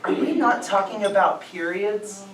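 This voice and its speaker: A woman's voice: mid-range voice, a little slow, lightly acted. A woman playing a baffled, exasperated man with an incredulous, emphatic manner in a comedic staged reading. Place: live staged reading in an echoey room. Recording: distant, echoey, noisy room.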